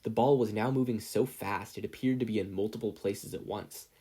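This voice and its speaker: A man's voice: lowish voice, fairly even intonation, fairly quick, dark tone, husky. A man reading a story aloud as scripted audiobook narration. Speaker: podfic reader, narrator.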